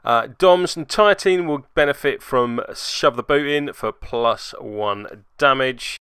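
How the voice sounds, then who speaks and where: A man's voice: medium pitch, takes it slowly, fairly bright tone, clear, resonant tone, no room sound. A man narrating a tabletop game match he plays in, with dry, self-mocking, sarcastic humour. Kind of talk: voice-over game commentary.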